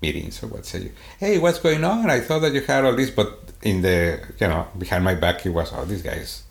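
Swedish-accented man, halting, in echoey room. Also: low voice, lively intonation, creaky. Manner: halting, many restarts and fillers. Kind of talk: casual conversation.

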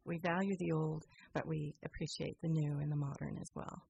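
Very husky, creaky low-voiced woman. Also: fairly even intonation, fairly quick, a little mellow, no room sound, dull audio. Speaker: interview guest. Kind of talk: casual chat.

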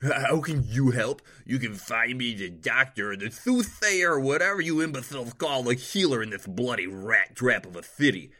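A man's voice: medium pitch, lively intonation, moderate pace, few pauses, voice pushed up. A man voicing a rude, pompous nobleman who sneers and blusters at everyone. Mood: blustering. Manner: shouting, sneering, contemptuous.

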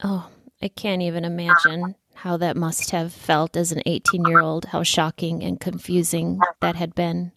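A woman hosting an interview podcast, asking gentle, empathetic questions. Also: on the low side, flat delivery, moderate pace, creaky, heavy background noise. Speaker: podcast host.

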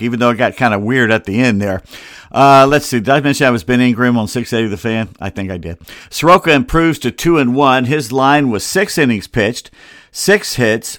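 A man's voice: mid-range voice, fairly bright tone, no echo, clean studio sound. An American man hosting a solo sports show in a casual, grumbling and wry way, with rambling asides. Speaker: sports podcast host. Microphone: close computer microphone.

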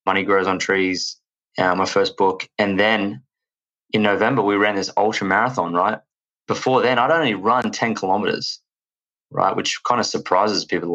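A man in his thirties with an Australian accent, a podcast guest telling stories in an energetic, rambling way. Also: fairly low pitch, muffled recording. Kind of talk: casual chat.

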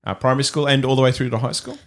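A man's voice: medium pitch, steady tone, racing. A man hosting a church podcast interview, often restarting and trailing off his questions. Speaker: podcast host.

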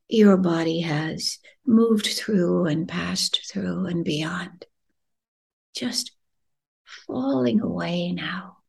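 A woman leading a guided meditation in a gentle, soothing, breathy voice that often drops near a whisper, unhurried with long pauses. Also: on the low side. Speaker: podcast host and meditation guide.

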